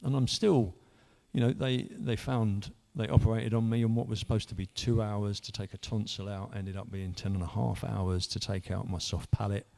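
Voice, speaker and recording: A man's voice: fairly low pitch, fairly even intonation, normal speed, creaky, few pauses, dark tone. A man, earnestly telling his own story in a rambling way, full of restarts. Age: 50s. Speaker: church member sharing testimony. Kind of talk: personal testimony.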